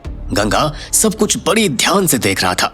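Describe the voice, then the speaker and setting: A man's voice: mid-range voice, big pitch swings, a little slow, bright, forceful tone, soft background music. A man narrating a scripted Hindi audio story in a dramatic style.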